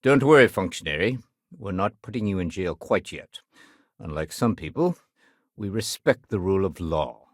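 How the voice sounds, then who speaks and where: Low-voiced, weary security sergeant. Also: male voice, lively intonation, no room sound, clean studio sound. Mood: stern, dry. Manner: measured, official, conversational. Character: weary, dutiful male security sergeant in space colony. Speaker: video game character. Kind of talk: video game dialogue acting.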